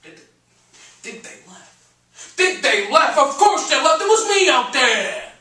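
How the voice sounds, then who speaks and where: A man's voice: high voice, lively intonation, a little slow, bright, forceful tone, pitched above the performer's own voice, full character voice. A man playing a brash, cantankerous middle-aged comedian-painter in a theatrical monologue, stammering and swinging between muttering and bellowing. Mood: nostalgic storytelling. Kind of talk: one-man dramatic monologue on stage. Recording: echoey room, distant microphone.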